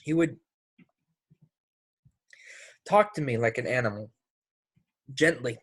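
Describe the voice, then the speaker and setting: A man playing a wounded, anguished young man confessing in halting fragments in an amateur play readthrough. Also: mid-range voice, animated, slightly rough, dry recording. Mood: haunted, halting.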